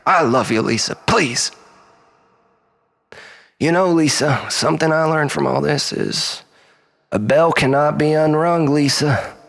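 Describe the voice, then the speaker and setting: Unhinged male monologuist played by a man: fairly high voice, self-loathing, rambling like a mock-philosophical preacher. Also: a little slow, gravelly, pauses often, a bit bright. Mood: pleading. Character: unhinged, self-loathing male storyteller pleading with his lover.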